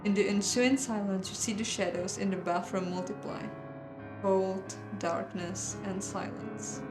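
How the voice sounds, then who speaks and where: A woman with a mid-range voice reads a visual novel aloud as host of a playthrough, mixing measured dramatic narration with casual commentary. The room gives her voice a clear echo, and music plays behind her. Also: mid-range voice, steady tone.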